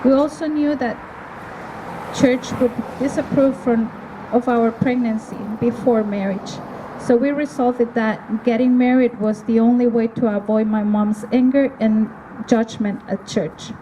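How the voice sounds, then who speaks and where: A middle-aged woman from El Salvador giving an earnest, measured testimony at an outdoor evening rally, pausing between phrases. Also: fairly high pitch, steady tone, mellow, poor audio. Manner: earnest, measured delivery with pauses. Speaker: rally speaker. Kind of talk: prepared testimony speech. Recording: outdoor recording with clear ambient noise.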